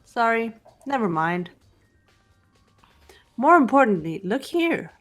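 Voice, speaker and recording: A woman's voice: a little high, sing-song, slow pace, faint music behind, exaggerated voice. A woman voicing a composed, unemotional teenage girl investigator in a visual novel game, speaking precisely and matter-of-factly. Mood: calm, analytical. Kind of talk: scripted visual novel voice acting.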